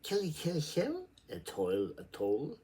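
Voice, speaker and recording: A man giving a theatrical, halting reading of literary prose, repeating words and shifting between murmurs and sudden emphasis. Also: a little high, wildly swooping pitch, dark tone. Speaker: reader. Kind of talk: dramatic amateur literary reading aloud.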